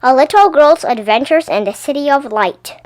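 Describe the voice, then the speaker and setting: A woman reading a children's picture book aloud as an expressive storyteller, animated and careful with her words. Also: high-pitched, normal speed, no echo.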